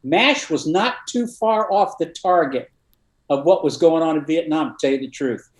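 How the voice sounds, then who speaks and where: An older man, a retired army colonel, telling war stories in a dry, matter-of-fact way with many pauses and fillers. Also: fairly high pitch, reverberant. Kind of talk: casual interview storytelling.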